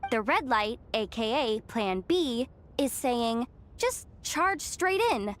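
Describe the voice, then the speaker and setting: High-voiced, cocky young treasure-hunting thief. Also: female voice, animated, slow pace. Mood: breezy scheming. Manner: breezy, boastful, theatrical. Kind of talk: video game character acting.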